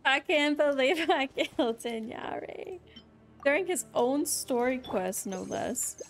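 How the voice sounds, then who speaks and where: A woman streamer chatting casually over her gameplay. Her voice is fairly high and expressive, gushing and slangy, with faint music behind her. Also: slow. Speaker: game streamer. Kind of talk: casual live gameplay commentary.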